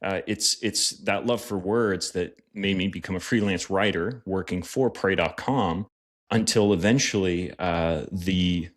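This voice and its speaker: A man's voice: low-pitched, measured pace. A middle-aged man, a pastor and writer, answering interview questions in an earnest, reflective way with frequent fillers. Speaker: podcast guest, pastor and writer.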